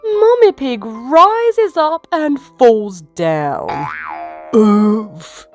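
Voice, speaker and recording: Lady reading a kids book slow and bouncy, soft piano under it. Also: medium pitch, very animated. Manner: gentle, sing-song storyteller. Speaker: children's story reader. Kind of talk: storybook read-aloud for kids. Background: soft piano music.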